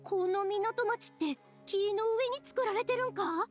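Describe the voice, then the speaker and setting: A woman voicing a bubbly, warm-hearted, chatty young woman with a Kansai accent in an anime game. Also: very high voice, a little slow. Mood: excited, amazed. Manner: excitable, chatty, friendly. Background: game music.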